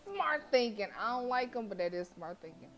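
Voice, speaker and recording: A woman's voice: a little high, expressive, brisk. A woman game streamer reading story text aloud expressively, with playful casual asides. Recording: faint game music behind. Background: game music.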